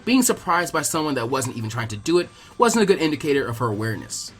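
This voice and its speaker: A man reading fan fiction as animated scripted narration, switching into character voices. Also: medium pitch, fast talker. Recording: music behind the reading.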